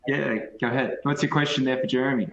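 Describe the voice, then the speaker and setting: A man's voice: medium pitch, fairly even intonation, fast talker, vocal fry, roomy sound. A man hosting a casual live video chat, prompting callers for their questions. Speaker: host. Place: video call. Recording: dull, noisy video-call audio.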